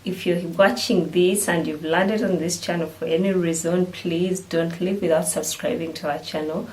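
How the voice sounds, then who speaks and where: A woman's voice: on the low side, a little mellow, slight background noise, rough recording. A woman in her thirties with a Ugandan accent, hosting a vlog in casual chat to the camera. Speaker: vlog host.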